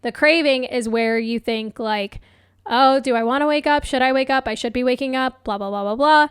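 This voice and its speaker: A young adult woman hosting a solo coaching podcast, chatty and upbeat with lots of fillers, her voice a bit hoarse. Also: high-pitched, normal speed, no room sound, studio quality. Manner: chatty, upbeat, laughs often, many fillers. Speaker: podcast host and life coach. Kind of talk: casual solo podcast talk.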